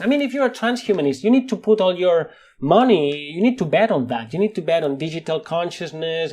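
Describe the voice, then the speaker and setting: Spanish-accented male guest, slightly high pitch, lively emphatic speaker. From Spain. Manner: animated, laughs often, repeats words when stressing a point. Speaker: guest scientist. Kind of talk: conversational interview.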